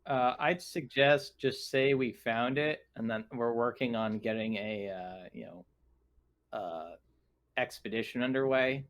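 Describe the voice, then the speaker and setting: A man talking casually as a tabletop roleplaying player, planning in a halting, think-aloud way. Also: mid-range voice.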